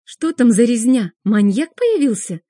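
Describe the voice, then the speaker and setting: A woman voicing a chatty, bossy female best friend who talks in rapid, exclamatory, scolding bursts in an audiobook. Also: fairly high pitch, expressive, dark tone, no room sound, studio quality, voice pushed up. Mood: urgent, serious.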